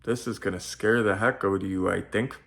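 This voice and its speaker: A man's voice: lowish voice, steady tone, fairly quick, vocal fry, very noisy. A man with a nasal voice, chatting informally to viewers as he hosts a casual unboxing vlog. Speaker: video host and collectibles unboxer. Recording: roomy, echoey sound.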